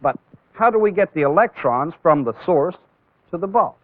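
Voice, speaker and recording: A man with a somewhat high-set voice teaches in the manner of an old training film. He explains deliberately, one step at a time, and puts weight on key terms. The sound is thin and phone-like, with a dry, echo-free closeness. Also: expressive. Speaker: instructor in a military training film. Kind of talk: instructional demonstration lecture.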